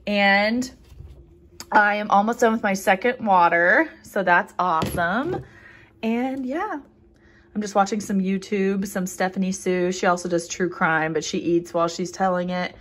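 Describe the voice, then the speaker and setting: A woman's voice: medium pitch, unhurried, fairly bright tone. A young woman chatting casually to viewers in an upbeat vlog, talking while she eats in her home kitchen. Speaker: vlogger.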